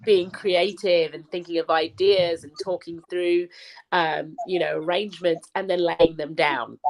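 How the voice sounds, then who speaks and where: Lower-voiced woman explaining calmly. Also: a little slow, slight background noise, low-quality recording. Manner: thoughtful, explains with examples. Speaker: singer-songwriter guest. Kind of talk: casual interview chat.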